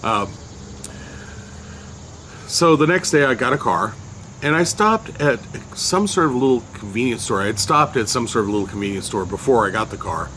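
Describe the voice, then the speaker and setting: An older man with a loud voice casually recounting a memory, unhurried and rambling with many fillers. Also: medium pitch, expressive, a little hiss or hum. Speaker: storyteller recounting a personal memory. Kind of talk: casual spoken recollection.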